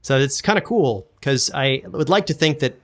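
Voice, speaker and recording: Guy being interviewed on a podcast, chatting casually, mid pitch, kinda stammers over his words. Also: expressive, normal speed, a bit bright. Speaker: podcast guest, audio drama producer and sound designer.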